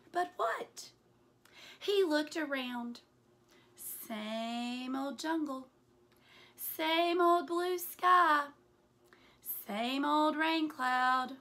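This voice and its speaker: High, bright-voiced woman reading a children's story. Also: vocal fry. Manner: deliberate, animated storytelling with hushed asides. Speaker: teacher reading aloud to children. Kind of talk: expressive picture-book read-aloud. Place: small furnished room indoors.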